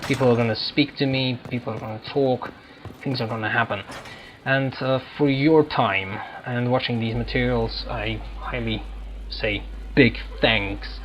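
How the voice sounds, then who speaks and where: A man's voice: mid-range voice, steady tone, measured pace, lo-fi sound. A man giving casual let's-play commentary as a gaming streamer.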